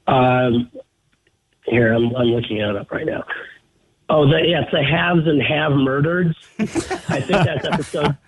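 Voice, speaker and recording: A man's voice: mid-range voice, a little slow, long pauses. A man calling in to a radio show as a guest, chatting casually and rambling through joking reminiscences over a phone line. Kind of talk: casual reminiscing interview chat. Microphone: telephone.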